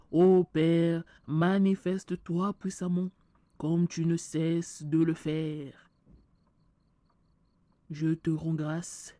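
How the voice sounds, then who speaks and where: A fervent preacher, played by a woman, who prays in a hushed, earnest voice and stumbles over fillers as she leads worship. The voice is low and mellow, and the delivery is very slow. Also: no echo. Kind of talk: church skit, preaching and prayer.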